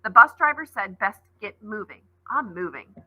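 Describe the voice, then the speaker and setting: Lady reading as a determined young girl, firm but uneasy, bright breathy tone. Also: mid-range voice, sing-song, moderate pace, no echo, highs cut off, heavily acted.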